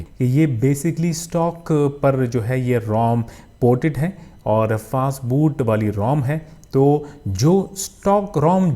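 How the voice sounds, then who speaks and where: A man with a Pakistani accent hosts a casual phone review. His voice is soft-edged and mellow, sitting at an ordinary male pitch. He leans on filler phrases and drops English tech terms into his explanations. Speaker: tech reviewer and channel host.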